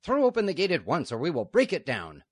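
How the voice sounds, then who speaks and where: Guy doing a gruff outlaw boss, loud, menacing, swings all over. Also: medium pitch, very animated, a little slow, no room sound, studio quality, voice pushed up, exaggerated voice. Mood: threatening. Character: rough gruff outlaw leader, threatening.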